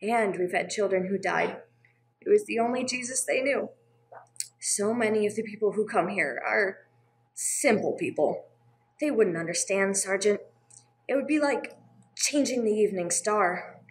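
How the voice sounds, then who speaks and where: A woman playing a gentle, earnest, sorrowful nun in a radio play, speaking with some hesitation. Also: mid-range voice, measured pace, lightly acted. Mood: wistful, sad. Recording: clear background noise, room ambience.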